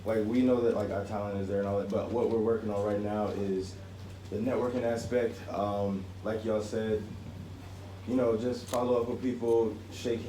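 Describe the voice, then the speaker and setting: A man's voice: on the low side, flat delivery, moderate pace, warm tone, a little hiss or hum. A student in his twenties from Atlanta with a voice worn from singing, asking a rambling question at a panel. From Atlanta, Georgia. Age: 20s. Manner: rambling, chatty. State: losing his voice. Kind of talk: audience question. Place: university event hall. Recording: roomy hall, mic heard from a distance.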